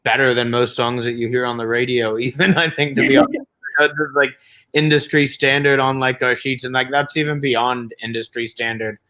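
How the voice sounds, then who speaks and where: A man's voice: medium pitch, flat delivery, fairly quick, sharp tone. Rambling man giving encouraging feedback. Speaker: showcase judge, songwriter. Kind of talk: casual spoken feedback.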